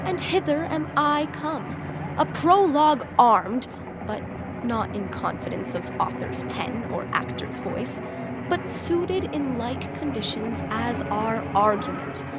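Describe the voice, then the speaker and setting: A wry, theatrical herald of war played by a woman sets out Shakespearean verse for an audio drama. The voice is on the high side and very unhurried, each phrase placed with care. Loud music plays underneath. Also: sing-song, poor audio. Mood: proud, inviting. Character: armed prologue narrator, wry herald of war.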